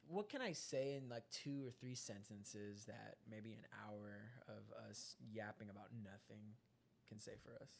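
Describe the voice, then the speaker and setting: A man's voice: lowish voice, measured pace, creaky. A man co-hosting a podcast in casual chat, with a nasal voice, telling stories animatedly and laughing a lot. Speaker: podcast co-host.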